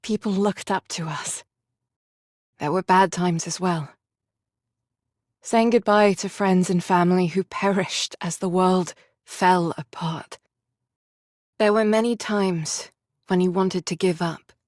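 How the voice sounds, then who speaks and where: An actress voicing a gentle, sorrowful young woman soldier in a video game, speaking tenderly and earnestly. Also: on the low side, steady tone, slow, no room sound, studio quality. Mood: solemn, tender. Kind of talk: dramatic game voice acting.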